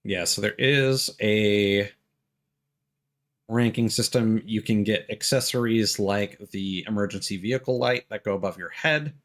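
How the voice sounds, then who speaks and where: A man's voice: fairly low pitch, unhurried, a bit bright. A man doing casual live gameplay commentary as a streamer, thinking aloud as he plays. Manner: thinks aloud, mild swearing. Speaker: video game live streamer.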